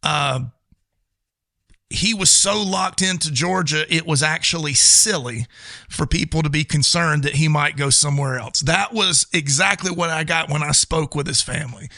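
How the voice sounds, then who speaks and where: A man's voice: fairly high pitch, moderate pace, pushed and bright, dry recording, studio quality. An American man co-hosting a sports talk show, giving casual, opinionated commentary in an emphatic way.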